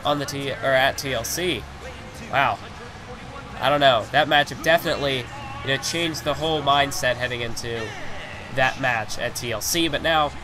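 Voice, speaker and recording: A man with a hoarse, fading voice doing rambling play-by-play commentary on a wrestling video game, with many filler phrases. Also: on the high side. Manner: rambling, heavy on fillers like "you know". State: hoarse, losing his voice.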